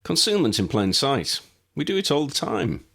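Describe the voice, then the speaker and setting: A calm, practical young wizard leader in a fantasy audiobook, read by a man. The voice is husky and gravelly, with measured, matter-of-fact phrasing. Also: mid-range voice, voice pushed up, heavily acted. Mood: reassuring. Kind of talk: audiobook character dialogue.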